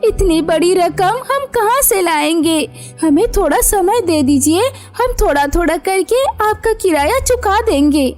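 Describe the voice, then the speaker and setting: A woman's voice: very high-pitched, animated, a little slow, hardly any pauses, darkish tone, faint music behind, voice pushed up. A woman voicing a protective, reassuring young elder sister bird in a cartoon story. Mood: earnest. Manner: reassuring, earnest. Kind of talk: Hindi cartoon moral story.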